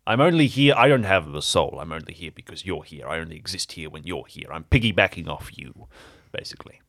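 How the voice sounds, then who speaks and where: Guy doing a creepy parasite creature for audio drama, low n dry, blunt, explains stuff like its obvious. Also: lively intonation, fairly quick, fairly bright tone, dry recording, full character voice. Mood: blunt, low-key. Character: red-eyed parasite creature, cryptic, blunt, explaining guest.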